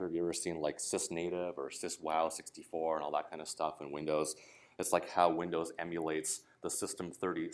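A man giving a casual, explanatory technical talk at a conference, often checking in with "right?". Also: a bass voice. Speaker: conference speaker. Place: conference room.

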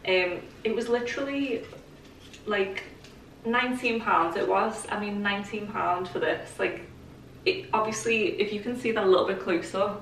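A woman's voice: medium pitch, normal speed, slight background noise. A young woman, bubbly and laughing easily as she talks through clothes with lots of fillers. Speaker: fashion vlogger. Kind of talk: casual chatty haul. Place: bright bedroom with clothes rail. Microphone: camera mic from across the room. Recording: roomy echo, mic at a distance.

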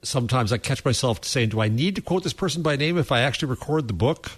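A man's voice: mid-range voice, lively intonation, quick pace, breathy edge. A man talking as a podcast panelist in casual discussion, often restarting his phrases hesitantly.

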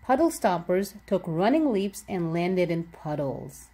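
A woman's voice: fairly low pitch, sing-song, unhurried, dark tone. A woman reading a children's picture book aloud, expressively and with careful enunciation for young listeners. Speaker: read-aloud storybook narrator.

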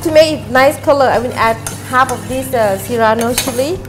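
Older woman chef, halting and high. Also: background noise. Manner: halting, simple phrasing with fillers. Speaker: chef, mother of the co-host. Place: restaurant kitchen studio, indoors. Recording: studio cooking show with music under the voices. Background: music, clinking pans and cutlery.

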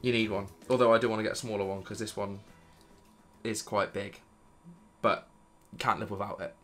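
A British man in his thirties with a croaky voice from illness, chatting enthusiastically about his gear to camera in a home studio. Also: on the low side, steady tone, a little fast, a bit bright, soft background music. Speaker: vlogger. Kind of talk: casual gear review to camera. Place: dim home studio room, indoors.

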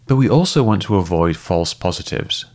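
Brief: low-voiced male narrator, methodical and calm, lively intonation despite the deep pitch. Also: moderate pace. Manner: calm, methodical, step-by-step explaining. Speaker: host and debunker. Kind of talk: scripted explanatory narration.

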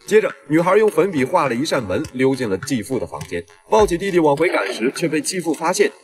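A man tells a scripted story as a film recap narrator. He moves along quickly with a very animated, varied intonation. Film sound with other voices runs behind him. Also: on the high side, crowd noise.

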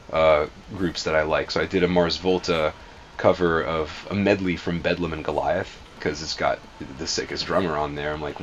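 Deep-voiced talkative man from Atlanta. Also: a bit bright, dull audio, a little hiss or hum. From Atlanta, USA. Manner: talkative, filler-heavy, rambling. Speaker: band drummer. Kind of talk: casual interview talk. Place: music studio, indoors.

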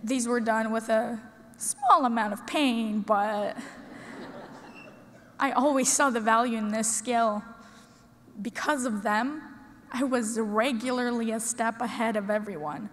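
Teen girl from russia giving a speech, high voice, big hall reverb, slow careful pauses. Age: teens. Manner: earnest, deliberate delivery with dramatic pauses. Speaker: student speaker. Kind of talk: prepared speech. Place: large conference hall, at a podium. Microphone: podium microphone.

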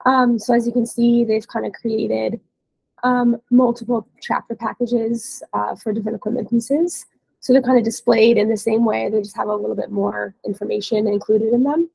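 Female webinar trainer, thirties. Scratchy, heavily creaky voice with a cold, even pitch, informal and explanatory, close headset sound. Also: normal speed, no room sound. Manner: casual, explanatory. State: hoarse from a cold. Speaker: webinar trainer, dealer support staff. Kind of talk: informal software training walkthrough. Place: indoor room, on a video call.

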